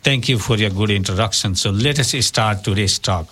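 A man's voice: fairly low pitch, bright, forceful tone, slightly rough. Man co-hosting a community radio talk. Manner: reads questions formally, slightly halting English. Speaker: radio show co-host. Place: radio studio.

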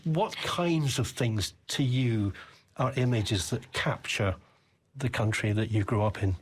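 A man's voice: medium pitch, a little slow, breathy edge. An older man from the UK, interviewing a guest with rambling, reflective questions. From United Kingdom. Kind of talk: conversational interview.

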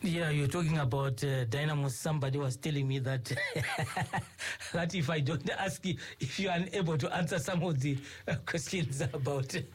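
A man's voice: a little high, moderate pace, slightly rough. A man hosting a radio interview, speaking formally and courteously, with many fillers and repeated phrases. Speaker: radio host. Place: radio studio.

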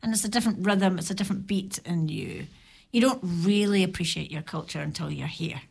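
A middle-aged woman talking as a guest on a community radio show, reflective and halting. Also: on the low side, lively intonation, gravelly, breathy edge. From Ireland or Scotland. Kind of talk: casual radio chat. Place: radio studio.

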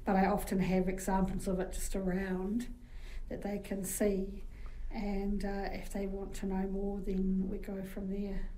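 A woman's voice: medium pitch, monotone, unhurried, mellow, a little hiss or hum, low-quality recording. A woman with a New Zealand accent, a guest in an interview, telling chatty anecdotes with frequent fillers. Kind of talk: casual interview storytelling.

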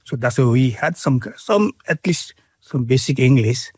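A middle-aged man with a Bhutanese accent, speaking in an interview with many pauses, fillers and restarts. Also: medium pitch, animated, a little mellow, husky, no room sound, clean studio sound. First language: Nepali. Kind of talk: casual interview.

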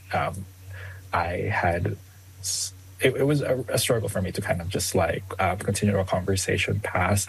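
A young man from the Philippines, first language Tagalog, answering interview questions as a guest author, with many ums and soft laughs. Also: on the low side, gravelly, breathy edge, poor audio.

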